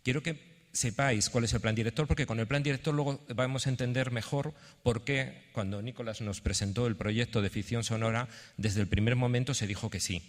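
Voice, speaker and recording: A man's voice: medium pitch, brisk. Spanish man presenting in a reverberant hall. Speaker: theatre representative presenting a project. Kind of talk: conference presentation, partly read out. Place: indoor conference venue.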